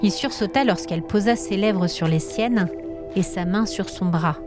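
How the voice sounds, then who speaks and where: A woman storyteller reading scripted dramatic narration in an expressive, suspenseful manner. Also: mid-range voice, normal speed, over music.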